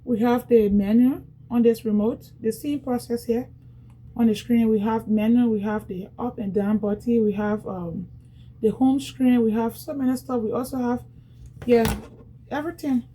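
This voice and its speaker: A woman's voice: fairly high pitch, steady tone, normal speed, warm tone, breathy edge, poor audio. Chatty Liberian woman vlogging. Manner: chatty, repetitive, talks straight to viewers. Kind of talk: casual product unboxing vlog.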